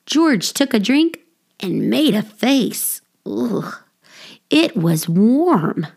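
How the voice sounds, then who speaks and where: A woman reading a children's story aloud as scripted narration, with expressive, deliberate phrasing for young listeners. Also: on the high side, creaky. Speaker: audiobook reader, children's storyteller.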